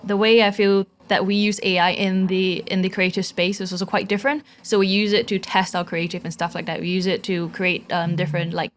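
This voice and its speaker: A woman's voice: mid-range voice, little pitch movement, fairly quick, hardly any pauses, slight background noise. A young woman from Singapore with a Singaporean English accent, a guest on a live podcast, talking casually with many fillers and restarts. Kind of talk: casual chat. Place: live podcast in a small London venue.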